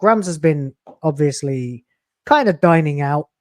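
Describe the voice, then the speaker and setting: A man's voice: on the high side, lively intonation, unhurried, dry recording. A man in his late thirties, a YouTuber talking in a casual podcast chat, blunt and profane, with emphatic swings in delivery. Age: late 30s. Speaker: YouTuber guest.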